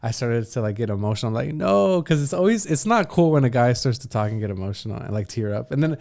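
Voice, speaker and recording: A man co-hosting a podcast, telling stories in casual chat with animated, self-mocking humor. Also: mid-range voice, fast talker, vocal fry. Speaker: podcast co-host.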